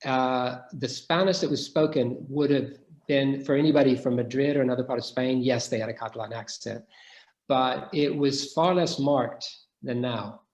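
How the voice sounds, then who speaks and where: A man's voice: medium pitch, moderate pace, a little mellow. Middle-aged man, academic Q&A speaker, hesitant and reflective, roomy echo. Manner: thoughtful, many restarts and hesitations. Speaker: guest speaker. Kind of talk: academic Q&A discussion.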